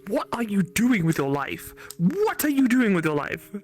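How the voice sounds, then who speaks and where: A man's voice: high-pitched, big pitch swings, moderate pace, a little hiss or hum. A man playing a game aloud as a streamer, with a cheeky, teasing way of talking that can turn pedantic. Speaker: gaming streamer reading visual novel dialogue. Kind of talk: playful let's play banter and read-aloud. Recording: music behind the voice.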